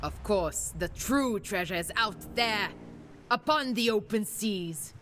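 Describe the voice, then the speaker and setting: A woman's voice: mid-range voice, lively intonation, slow pace, slight background noise. A woman playing a bold, ruthless pirate queen captain in a video game, with a commanding theatrical delivery. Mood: proud, calculating. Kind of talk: scripted dramatic game narration. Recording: loud music behind the voice. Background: music.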